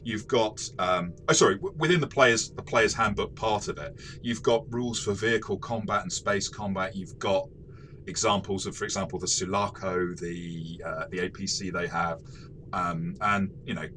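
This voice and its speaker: A man co-hosting a casual game review discussion, talking in a rambling, halting way and often repeating words. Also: low voice, a bit bright, slight background noise. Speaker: podcast host and game reviewer.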